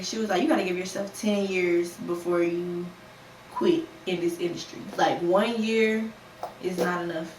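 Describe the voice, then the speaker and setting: Young woman chatting, roomy and distant. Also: fairly low pitch. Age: 20s. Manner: candid and conversational, with lively put-on quotes and slang. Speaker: podcast host. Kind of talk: casual solo podcast talk. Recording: roomy, a bit distant from the mic, faint ambient noise.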